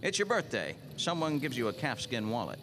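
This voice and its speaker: A man's voice: medium pitch, big pitch swings, a little fast, noisy background, close to an everyday voice. An actor playing a world-weary, cynical hard-boiled detective in the style of 1940s radio noir, with terse, wry tough-guy talk. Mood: businesslike. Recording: live radio drama with music underneath.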